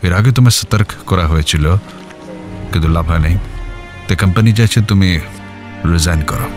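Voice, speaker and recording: A man playing a firm, formal HR manager in an audio drama, speaking in a measured, official way. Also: deep voice, lightly acted. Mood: stern, measured. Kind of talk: audio story acting. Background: background music.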